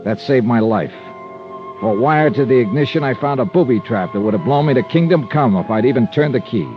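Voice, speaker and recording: An American actor playing a tough, wry insurance investigator in 1950s radio drama, clipped and sardonic. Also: male voice, medium pitch, expressive, brisk, natural voice. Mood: brisk narrating. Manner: hard-boiled, clipped, sardonic. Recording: dull old radio recording with music.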